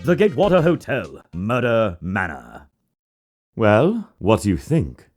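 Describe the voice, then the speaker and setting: An actor voicing a self-important, morbidly eager hotel bellboy from a video game, with an obsequious, melodramatic manner. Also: male voice, on the low side, huge pitch swings, unhurried, a little mellow, faint music behind, full character voice. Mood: excited. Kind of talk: dramatized video game dialogue.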